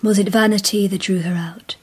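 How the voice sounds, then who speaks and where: Woman playing a very breathy empress in a radio play, formal, poised, watchful, a bit wounded. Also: mid-range voice, fairly even intonation, fairly quick, close to an everyday voice. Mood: reflective, shrewd. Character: dignified, perceptive empress, quietly wary and wounded. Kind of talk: radio drama acting.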